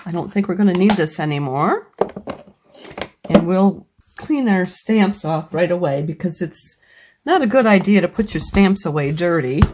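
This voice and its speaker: Woman, lowish voice, slightly scratchy from a cold, patient step-by-step craft tutorial. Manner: patient, explains each step. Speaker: craft demonstrator.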